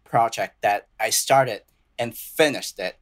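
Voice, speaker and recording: Young man, Chinese accent, lowish voice, earnest, halting, many restarts, casual interview guest. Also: a bit bright. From southern China. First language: Cantonese and Mandarin. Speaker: playwright and composer guest.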